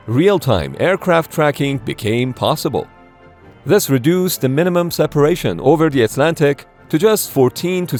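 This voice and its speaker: A man narrating an explainer in a scripted documentary style, with a measured, explanatory delivery. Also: fairly high pitch, darkish tone. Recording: background music under voice. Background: music.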